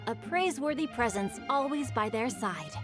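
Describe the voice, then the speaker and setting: A woman's voice: on the high side, animated, moderate pace, music in the background, lightly acted. A woman voicing a breezy, youthful poet-bard in a video game, with a lilting, courteous, teasing manner. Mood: amused.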